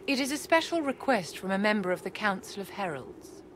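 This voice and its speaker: An actress playing a poised, secretive court enchantress in a video game, formal and measured, with condescending courtesy. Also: female voice, medium pitch, very animated, slight background noise, lightly acted. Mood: composed, guarded. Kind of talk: video game cutscene acting.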